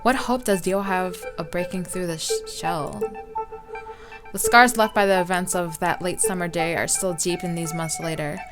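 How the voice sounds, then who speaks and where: A woman's voice: fairly low pitch, steady tone, normal speed. A woman hosting a let's play, reading a visual novel aloud informally, with some stumbles and game music behind her.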